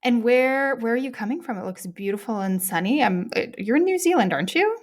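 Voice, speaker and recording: A woman's voice: a little high, lively intonation, brisk. A woman hosting a podcast, talking in an enthusiastic, chatty way with a lot of gushing. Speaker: podcast host. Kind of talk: casual interview chat.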